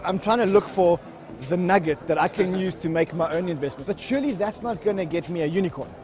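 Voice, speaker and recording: Brisk, high-voiced male show host. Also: music in the background. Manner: energetic, quick questions. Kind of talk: upbeat presenting and interviewing. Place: outdoors at a conference.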